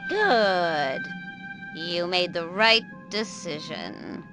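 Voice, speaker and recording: An actress playing a scheming, vengeful female servant of Dracula in a game cutscene, speaking in a slow, coaxing and gloating manner. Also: fairly low pitch, expressive, exaggerated voice. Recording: game audio with clear music score.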